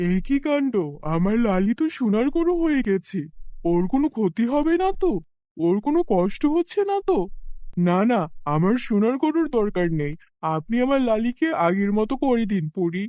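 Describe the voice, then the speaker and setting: High-voiced, earnest poor village farmer acted by a man. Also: sing-song, normal speed, mellow, dry recording. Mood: alarmed. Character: poor, soft-hearted village farmer devoted to his cow. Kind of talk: acted animated fairy-tale dialogue.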